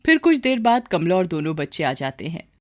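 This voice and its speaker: A woman's voice: lowish voice, very animated, fairly quick, no room sound, clean studio sound. A woman storyteller giving scripted narration of a story.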